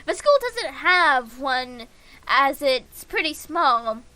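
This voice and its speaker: A woman livestreaming a game in a casual, excitable way, reading the text aloud and reacting with giggly gushing. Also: sits high, takes it slowly, dry recording, low-quality recording.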